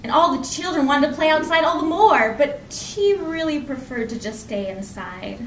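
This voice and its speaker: Recorded from a distance in a reverberant room, a woman tells a folk tale aloud to listeners. Her voice sits high, and she is expressive yet conversational, pausing and repeating phrases for effect. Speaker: storyteller. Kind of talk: live oral folk tale storytelling. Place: indoor library program before an audience. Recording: echoey room from far off, faint noise.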